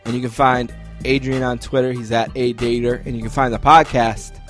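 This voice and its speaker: A man's voice: mid-range voice, flat delivery, normal speed, fairly bright tone, soft background music. A man hosting a podcast, reading out plugs and chatting casually. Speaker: podcast host. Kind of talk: podcast sign-off and casual chat.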